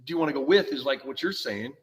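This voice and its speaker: A man's voice: medium pitch, expressive, fast talker, in a large hall, far from the mic. A man co-hosting a casual live car show who tells stories with laughter and imitates how other people talk. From California. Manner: laughs while telling stories, mimics others' speech. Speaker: co-host. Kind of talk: casual chat.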